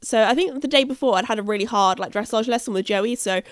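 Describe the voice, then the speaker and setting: Chatty British woman podcast host, higher-pitched voice gone croaky from a chest infection, dry close sound. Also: quick pace, no echo. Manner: chatty, rambling, self-interrupting. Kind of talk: casual podcast chat. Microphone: podcast microphone.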